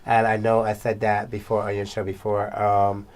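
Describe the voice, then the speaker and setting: A woman from Barbados with a Bajan accent, telling personal stories haltingly as an interview guest. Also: a bass voice, completely flat delivery, a little mellow. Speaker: talk show guest.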